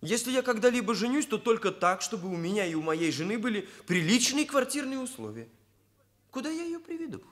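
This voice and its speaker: A young hairdresser dude, high pitched n animated, super serious bookish way of talking, soviet radio drama. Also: male voice. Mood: matter-of-fact, defensive. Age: young adult. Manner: formal, bookish, deliberate phrasing. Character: earnest, stiff young male hairdresser, self-serious and matter-of-fact. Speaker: actor in a radio play.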